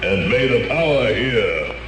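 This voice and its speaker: An actor playing a charismatic male cult leader who preaches and heals before his followers in a slow, declamatory style, in a 1970s radio drama. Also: medium pitch, big pitch swings, roomy sound, over music, poor audio. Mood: solemn. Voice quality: slow, sonorous, declamatory delivery. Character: charismatic male cult leader, grandly solemn faith healer.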